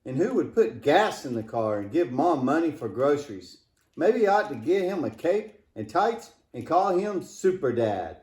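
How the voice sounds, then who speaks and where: A man's voice: a little high, sing-song, normal speed, darkish tone. A man playing a cheeky, wisecracking, imaginative boy puppet in a scripted church puppet show, joking and exclaiming playfully. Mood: incredulous, cheeky. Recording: echoey room.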